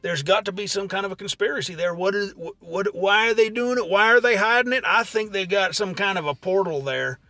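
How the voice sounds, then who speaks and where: A man's voice: high-pitched, brisk, hardly any pauses, bright, forceful tone, no room sound. A man playing a cocksure country conspiracy believer with a rural American accent, talking in folksy, ungrammatical comic banter. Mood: insistent. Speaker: comedy podcast co-host.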